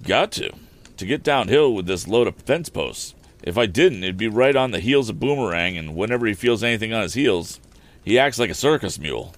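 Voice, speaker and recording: A man's voice: fairly low pitch, expressive, fairly quick, pitched above the performer's own voice, close to an everyday voice. A man voicing a rustic older handyman who drives a mule, long-winded and deferential. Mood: earnest.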